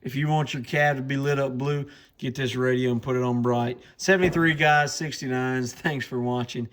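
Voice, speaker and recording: A man's voice: medium pitch, steady tone, normal speed, a bit bright. A man hosting a casual product walkthrough, talking in a plainspoken, informal way. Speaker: radio channel host.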